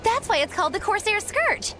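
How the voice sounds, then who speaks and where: High, theatrical young witch. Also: big pitch swings, fairly quick, sharp tone, a little hiss or hum, full character voice. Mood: ominous storytelling. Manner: melodramatic, grandiose. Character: theatrical young female witch, whiny and flippant. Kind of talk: video game voice acting.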